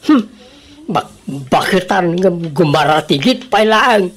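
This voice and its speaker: A woman's voice: fairly low pitch, lively intonation, slow. A woman playing a bickering village neighbour in a comedy radio drama, teasing and gossiping with an Ilocano accent.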